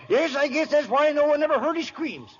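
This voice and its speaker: An actor playing a folksy, talkative old country caretaker with a rural American accent in an old radio comedy-drama. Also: male voice, very high-pitched, fairly quick. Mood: spooky storytelling. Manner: folksy storytelling, hushing and chuckling. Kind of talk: 1930s-40s radio comedy-drama acting. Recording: muffled, hissy old radio broadcast.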